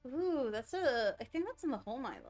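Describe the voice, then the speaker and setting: Girl streaming a game, thinking out loud, really animated pitch, kinda high voice, chatty. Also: fairly quick, soft background music. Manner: chatty, thinking aloud, reacting to what is on screen. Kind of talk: casual livestream game commentary, chatty.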